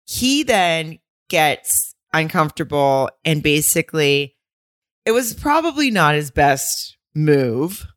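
A low-voiced woman co-hosting a laid-back recap show, cracking jokes and drifting into side stories. Her pitch rises and falls a great deal, and her voice sounds dry and close, with no room around it. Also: very animated, takes it slowly, dry recording. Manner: joking, laughs often, rambling asides. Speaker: podcast co-host. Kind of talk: casual recap chat.

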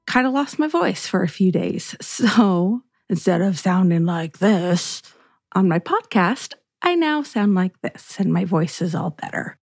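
A woman's voice: no room sound, clean studio sound. A woman hosting her own skincare podcast, talking casually to listeners and laughing often as she goes. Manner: chatty, laughs often, lively pitch swings. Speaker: podcast host, esthetician. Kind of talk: casual solo podcast chat.

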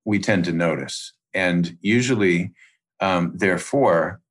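A man speaking as an expert interview guest, explaining in precise, measured academic phrases with deliberate pauses. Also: low-pitched.

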